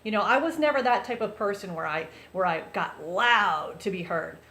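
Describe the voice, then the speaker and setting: A woman vlogger with a loud voice, talking earnestly and chattily to her viewers in a casual confessional way. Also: medium pitch, lively intonation, normal speed. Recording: roomy echo, faint background noise.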